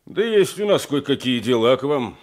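A man's voice: medium pitch, big pitch swings, normal speed, lightly acted. Radio play: actor as village headman, male, middle register, stern, firm, defensive. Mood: formal. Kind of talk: Soviet radio play acting. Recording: 1980s radio drama recording.

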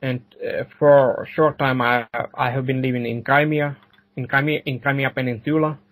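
A man, answering interview questions haltingly and repeating words and phrases. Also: mid-range voice, fairly even intonation, a bit bright. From Ukraine. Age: 40s. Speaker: podcast guest.

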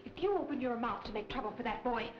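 A woman's voice: fairly high pitch, animated, fast talker, echoey room, close to an everyday voice. An actress playing a proud young woman in a 1940s crime film, cool and firm in manner. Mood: defiant. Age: young adult. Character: proud, defiant young woman mixed up in smuggling. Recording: dull 1940 film soundtrack, music behind.

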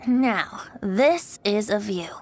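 A woman's voice: medium pitch, lively intonation, slow, background noise. A woman voicing a carefree, teasing young male bard in a video game, light and boyish with a lilting delivery. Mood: breezy, wistful. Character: playful, carefree youthful male bard, secretly wise. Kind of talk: video game character acting.

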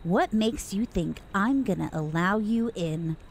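Animated, grudging bouncer woman acted. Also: mid-range voice, a little slow, creaky, slight background noise. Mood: suspicious. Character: grudging, unimpressed shapeshifter bouncer guarding a bar. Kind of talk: audio drama dialogue.

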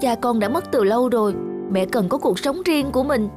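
A woman's voice: on the high side, lively intonation, fairly quick, over music, natural voice. Woman playing an earnest, emotional adult daughter. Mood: pleading. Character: caring, earnest adult daughter, guilty and pleading.

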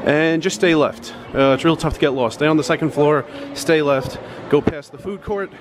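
A man's voice: fairly high pitch, normal speed. A man in his thirties giving casual step-by-step walking directions, filmed on a handheld camera held at arm's length. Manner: plain, instructive, pointing the way while walking. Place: indoor casino halls and an outdoor sidewalk by a highway. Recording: traffic noise behind.

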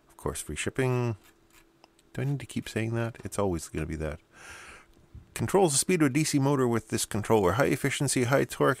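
A man's voice: mid-range voice, creaky, dry recording. A middle-aged man giving casual, unscripted commentary at a workbench, rambling and muttering asides to himself as he goes. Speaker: host of an electronics mailbag video. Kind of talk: casual unscripted bench commentary while unboxing and testing gadgets. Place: indoor electronics workbench.

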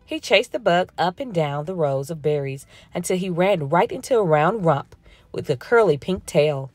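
A woman slowly reading a children's picture book aloud in a gentle storytelling manner. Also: on the low side, lively intonation, dry recording, faint music behind. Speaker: storybook reader. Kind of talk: children's read-aloud narration.

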